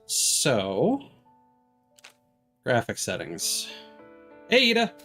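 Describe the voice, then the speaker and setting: A man chatting casually on a live game stream in a halting, polite, slightly formal way. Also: mid-range voice, wildly swooping pitch, sharp tone, faint music behind.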